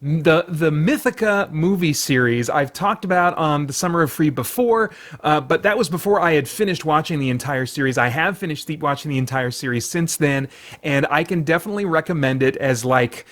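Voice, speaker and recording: A man's voice: a little high, fairly quick, a bit bright. A man hosting a review show, giving chatty opinions and recommendations. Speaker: podcast host and film reviewer.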